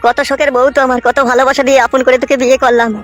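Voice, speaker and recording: Cartoon casting: aggrieved, foul-mouthed young husband played by a woman, very high pitch, rapid-fire rants. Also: level tone, soft background music, lightly acted. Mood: hurt, lamenting. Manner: ranting, scolding, coarse village speech. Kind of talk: comic cartoon dialogue.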